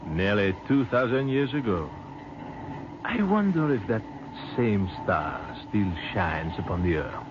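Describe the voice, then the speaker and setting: An actor playing a courteous, sentimental French army captain with a French accent, in old-time radio drama style, speaking formally and wistfully. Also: male voice, lowish voice, very animated, measured pace. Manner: formal, gently philosophical phrasing. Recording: noisy, muffled old radio recording.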